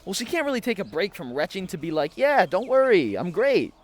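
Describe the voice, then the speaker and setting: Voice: mid-range voice, sing-song, fairly quick. A performer playing a laid-back young man, a dramatic, flippant sidekick in an audio fiction podcast, slangy and sarcastic.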